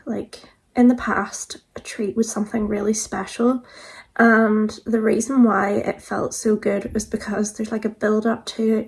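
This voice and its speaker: A woman in her thirties talks to camera in a relaxed vlog, her voice a little croaky as she gets over being sick. She sits a touch high in pitch and stays fairly level, and she fills her chat with easy "like"s. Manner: chatty, frequent "like" fillers. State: croaky, recovering from illness. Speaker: vlogger. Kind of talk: casual talk to camera.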